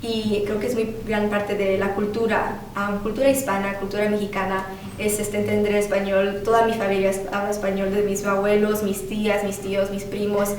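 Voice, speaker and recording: A woman's voice: mid-range voice, level tone, normal speed, darkish tone. A woman speaking earnestly with very little rise and fall in her voice. She sounds distant from the mic in a strongly echoing room, with faint noise. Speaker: student. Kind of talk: testimonial interview. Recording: echoey room from far.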